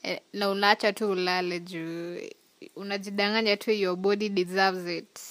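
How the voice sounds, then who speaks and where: A woman talks in a relaxed, teasing way and laughs softly. Her voice sits at an ordinary pitch, and the recording is dry and close. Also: normal speed, no room sound. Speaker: podcast co-host. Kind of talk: casual chat.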